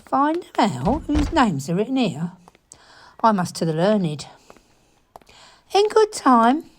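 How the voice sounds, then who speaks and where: A woman acts a cheerful, unlettered servant in a Shakespearean audio play. Her delivery is unhurried and exclamatory, with very lively pitch. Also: slight background noise, full character voice. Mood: puzzled. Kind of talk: Shakespearean audio drama acting.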